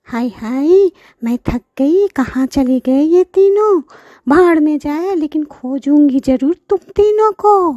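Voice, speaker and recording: A woman voicing a menacing, boastful old witch granny in a children's cartoon story, with cackles and drawn-out threats. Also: high voice, expressive, mellow, dry recording, highs cut off, voice pushed up. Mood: menacing, searching.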